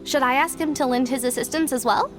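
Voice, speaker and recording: A woman acting out a helpful young female staffer with a high voice. She answers promptly and offers help. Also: quick pace, music in the background, lightly acted. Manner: helpful, dutiful.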